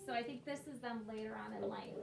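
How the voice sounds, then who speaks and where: High-pitched woman, schoolteacher, encouraging simple explanations, read-aloud discussion, echoey noisy recording. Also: quick pace, gravelly. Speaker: elementary school teacher. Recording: echoey, distant mic, noisy with clicks.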